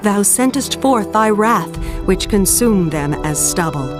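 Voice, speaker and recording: A woman's voice: medium pitch, expressive, a little slow, music in the background, lightly acted. A woman voicing a devout Israelite, declaiming reverent praise in a dramatized scripture recitation.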